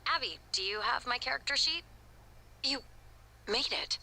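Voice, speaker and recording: A woman acting a hushed character in a science fiction video game's audio log. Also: high-pitched, lively intonation, moderate pace, creaky, full character voice. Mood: hushed, intimate.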